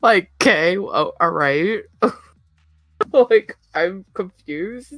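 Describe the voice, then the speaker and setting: A woman's voice: lowish voice, lively intonation, extremely slow, fairly bright tone, dry recording, faint music behind. A young woman co-hosting a podcast, chatting casually, rambling with lots of fillers and giggles. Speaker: podcast co-host. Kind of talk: casual chat.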